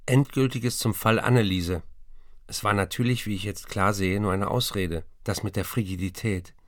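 An actor playing a troubled writer who pleads with his estranged wife, reading a confessional, measured letter in a radio play. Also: lowish voice, steady tone, no room sound, clean studio sound, natural voice. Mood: confessing.

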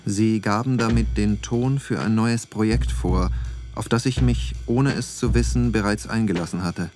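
Low-voiced reflective man acted for radio. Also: a little mellow, faint music behind, natural voice. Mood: contemplative. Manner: contemplative, measured. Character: reflective male photographer narrating his own searching wanderings. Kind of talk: literary radio play monologue.

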